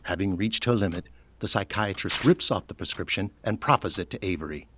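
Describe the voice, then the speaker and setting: Casting: male narrator for audio drama, deep voice, scripted scene-setting read. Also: a little fast, a bit bright, slight background noise.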